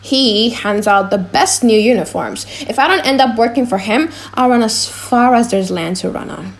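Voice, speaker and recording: A woman acts out a young male servant in an audiobook play. He is cocky and full of mischief. The voice is on the high side, with a teasing, mock-grand way of chattering. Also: moderate pace, creaky. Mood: exasperated. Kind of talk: dramatized audiobook reading.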